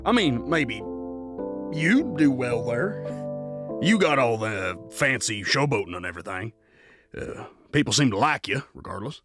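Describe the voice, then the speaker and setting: An actor playing a gloomy, self-doubting small-town man in a western audio drama, hesitant and drawn-out. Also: mid-range voice, lively intonation, fairly bright tone, faint music behind. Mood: doubtful.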